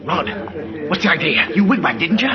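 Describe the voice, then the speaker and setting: An actor playing an aggressive young armed robber, barking urgent orders in a vintage radio drama. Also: male voice, on the high side, big pitch swings, a little fast, crowd noise. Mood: urgent, menacing. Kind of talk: 1950s radio drama acting. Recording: dull echoey vintage radio, loud music.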